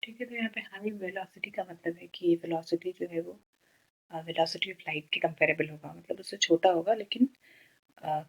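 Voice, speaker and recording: A woman's voice: lowish voice, gravelly, rough recording. A woman with an Indian accent teaching physics as a tutor, explaining step by step and often posing questions that she then answers. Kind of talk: step-by-step problem explanation, Hindi mixed with English terms.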